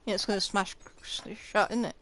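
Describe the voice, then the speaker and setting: A woman's voice: medium pitch, steady tone, brisk, a bit bright, slight background noise. A woman live streamer giving casual commentary while playing a game. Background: faint game music.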